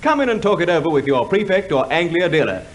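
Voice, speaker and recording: A man's voice: on the high side, sing-song, fast. A man reading a scripted 1950s radio commercial in a polished, persuasive salesman's manner.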